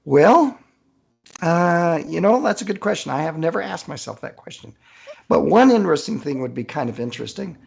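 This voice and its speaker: A man with a gravelly, husky voice answering casually in an interview as an author, chatty and thinking aloud. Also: fairly high pitch, very animated, normal speed, highs cut off.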